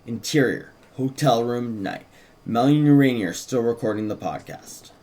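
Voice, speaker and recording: A man's voice: mid-range voice, a little slow, a little mellow. A man reading scene descriptions and stage directions aloud at a script table read.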